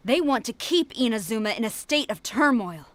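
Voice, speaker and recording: A woman's voice: fairly high pitch, animated, moderate pace, no room sound, natural voice. A woman voicing a stern, honour-bound military commander in a video game, formal, commanding and clipped. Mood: grim resolve.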